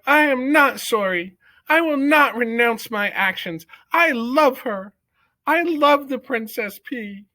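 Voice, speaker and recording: Man doing a high, stammering little mouse. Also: steady tone, slow, vocal fry, bright, forceful tone, full character voice. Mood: pleading. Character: small young male mouse, timid but defiant. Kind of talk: audiobook character reading.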